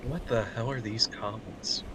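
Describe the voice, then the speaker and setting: A man's voice: mid-range voice, steady tone, unhurried, sharp tone, a little hiss or hum, poor audio. A man taking part casually in a stream, chatting and reading online comments aloud.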